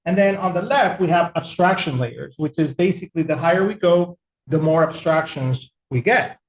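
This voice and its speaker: A man from El Salvador giving a relaxed, conversational technical webinar with frequent fillers. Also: fairly high pitch, normal speed, roomy sound, low-quality recording. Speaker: webinar presenter.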